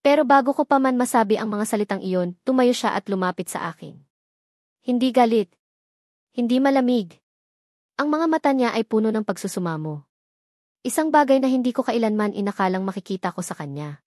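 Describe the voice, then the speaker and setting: A woman's voice: a little high, lively intonation, a little fast, pauses often, darkish tone, dry recording, clean studio sound. A woman with a Filipino accent reading a scripted, dramatic first-person story as narrator.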